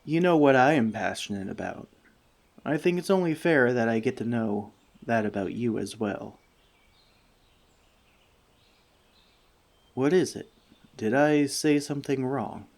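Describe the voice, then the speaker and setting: A man playing a friendly, thoughtful forest elf in a soft-spoken ASMR roleplay, speaking to the listener in measured, slightly formal words. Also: mid-range voice, lively intonation, gravelly. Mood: curious, gentle. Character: friendly male forest elf, gentle, thoughtful, long-lived.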